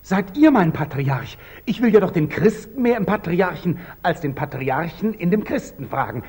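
An actor playing a proud, earnest young knight templar, formal and guarded, in 1940s German radio drama style. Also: male voice, high-pitched, big pitch swings, normal speed, a little mellow, breathy edge, full character voice. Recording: old muffled 1940s radio recording.

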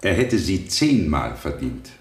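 An actor playing a firm, loyal stockbroker giving assured, measured testimony in a radio courtroom drama. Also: male voice, sits low, very animated, slight background noise. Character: firm, loyal male stockbroker witness, victim's friend. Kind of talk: 1970 German radio drama acting. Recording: roomy vintage radio studio sound.

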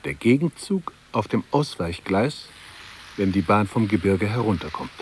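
A man's voice: medium pitch, a little mellow, a little hiss or hum. An actor playing a fussy, fretful middle-aged male bank clerk thinking aloud in a radio drama, precise and ruminative. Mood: musing, pleased. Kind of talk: 1960s German radio drama monologue.

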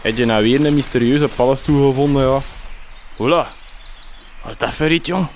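A man's voice: mid-range voice, normal speed, darkish tone, a little hiss or hum, lightly acted. A man with a Flemish accent playing a sulky, plaintive musician sidekick in a radio comedy drama, muttering his lines. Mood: exasperated.

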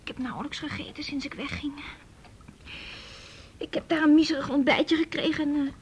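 An actress playing a hurt, defiant young runaway girl in a naturalistic radio drama, telling things in a halting, wry way. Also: high voice, lightly acted. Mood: rueful, weary.

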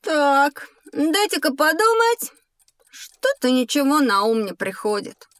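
Curious little schoolboy, high voice, playful and boastful, audio drama, very dry studio sound. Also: female voice, slow, no room sound, exaggerated voice. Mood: puzzled. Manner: expressive, exclamatory, boyish. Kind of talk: scripted educational audio drama.

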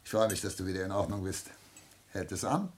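An actor playing an aging, sardonic family patriarch in a German radio play, speaking with formal, probing irony. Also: male voice, deep voice, expressive, a little fast, slight background noise. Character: imperious aging patriarch, sardonic privy councillor and father.

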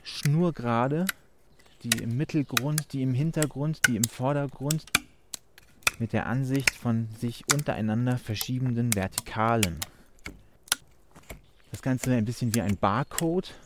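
Slow, measured man observing poetically. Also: mid-range voice, very noisy. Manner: measured, descriptive, fragmentary phrases. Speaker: participant in a sound-art walk.

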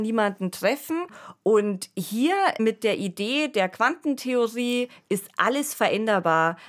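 Female podcast co-host, mid-pitched, talks things through analytically in a conversational register. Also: fairly bright tone. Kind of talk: casual discussion of science fiction radio plays.

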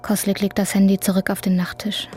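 A woman's voice: mid-range voice, completely flat delivery, fairly quick, darkish tone, a little hiss or hum. A woman reading scripted literary narration for a radio drama.

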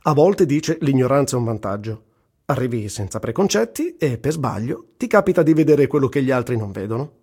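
A man's voice: mid-range voice, sing-song, fairly quick, dark tone, slightly rough. An actor reciting as a wry, earthy, self-mocking male war journalist, in a dramatic literary radio-theatre reading. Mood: ironic, animated. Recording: voice over prominent music. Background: music.

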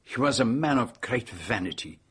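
A polite foreign detective, french accent, bit husky, very precise and formal, radio drama. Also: male voice, mid-range voice, expressive, normal speed, fairly bright tone. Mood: grave, assured. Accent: French-speaking European. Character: polite, observant middle-aged male foreign detective, also narrating. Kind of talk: radio drama dialogue and narration.

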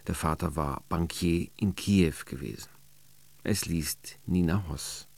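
A man's voice: deep voice, takes it slowly, vocal fry, a little mellow. A man giving a scripted introduction as a radio announcer. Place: dry radio studio.

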